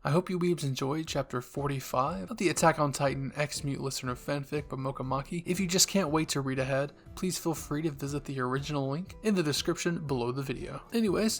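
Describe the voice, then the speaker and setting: A man reading scripted fan fiction as a narrator and channel host. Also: on the high side.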